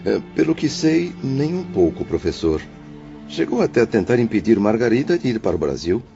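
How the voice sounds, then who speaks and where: A man's voice: on the low side, sing-song, normal speed. A man playing a remorseful, grieving lover in a heartfelt radio drama. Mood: sorrowful. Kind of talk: dramatised radio-play dialogue. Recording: dull lo-fi audio with music behind. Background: clear background music.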